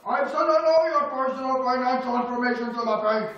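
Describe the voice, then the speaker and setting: A man's voice: extremely high pitch, fairly quick, highs cut off. A man voicing a smug, officious millipede demon who works as a government bureaucrat, in a live puppet show. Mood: gloating. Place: small indoor puppet stage. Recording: echoey room from far off with noise.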